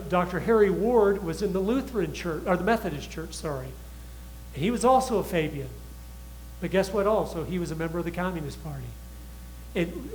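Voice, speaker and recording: Guest man, fairly high voice, urgent and emphatic delivery, faint hum behind. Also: low-quality recording. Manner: urgent, emphatic, with restarts and retold quotes. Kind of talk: impassioned talk show monologue.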